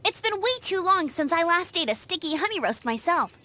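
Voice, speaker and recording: A woman's voice: very high-pitched, a little fast, heavily acted. A woman playing a spirited, earnest young scout in an anime-style fantasy video game. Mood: upbeat, eager. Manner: perky, earnest, expressive.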